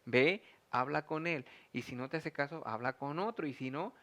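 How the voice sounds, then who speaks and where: A man with a slightly high voice co-hosts a Bible programme. He discusses and reads scripture informally, often seeking agreement as he goes. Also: moderate pace, fairly bright tone. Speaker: religious programme co-host.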